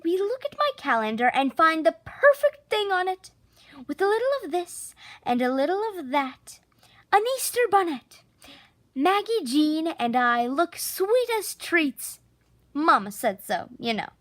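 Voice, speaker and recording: A woman narrating a children's picture book expressively, with theatrical, drawn-out emphasis on key words. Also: extremely high pitch, very animated, a bit bright, dry recording. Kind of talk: expressive children's storybook read-aloud.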